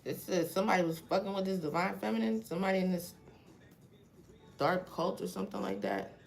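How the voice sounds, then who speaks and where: A woman with a Haitian accent and a low, deep-set voice talks through a live tarot spread. She questions each card out loud in a loose, profane, conversational way. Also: lively intonation, fairly quick, gravelly. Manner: casual and profane, questions the cards aloud. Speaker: tarot reader. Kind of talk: live tarot reading, thinking aloud.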